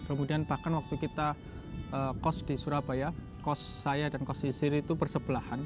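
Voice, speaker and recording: A young adult man from East Java, telling a personal story casually in a halting way, often correcting himself. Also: on the high side, flat delivery, music in the background. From Jombang, East Java, Indonesia. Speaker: doctoral student telling his story.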